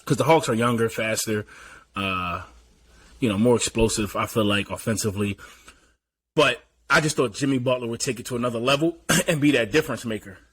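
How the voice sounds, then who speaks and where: A man with a mid-pitched, bright, forward voice. He breaks down games in an easygoing, analytical way and often clears his throat. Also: brisk. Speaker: podcast host. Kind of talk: casual sports chat.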